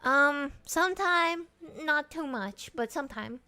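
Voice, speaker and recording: A young woman singer talking casually as a guest, bubbly and quick to laugh, swearing freely. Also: sits high, lively intonation, bright, forceful tone. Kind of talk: casual chat.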